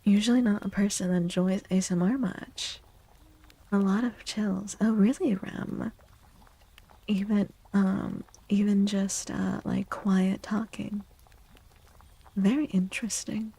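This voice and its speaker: Soft, creaky, slow-talking woman, near whisper. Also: medium pitch, fairly even intonation. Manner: slow, gentle, unhurried, repeats phrases softly. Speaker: livestream host. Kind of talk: soft-spoken ASMR livestream chat.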